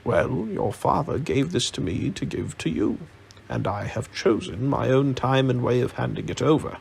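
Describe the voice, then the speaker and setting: A man playing a grave, proud old wizard who speaks in a slow, admonishing way for a storybook. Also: mid-range voice, lively intonation, vocal fry, darkish tone, slight background noise, poor audio. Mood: testy, self-justifying.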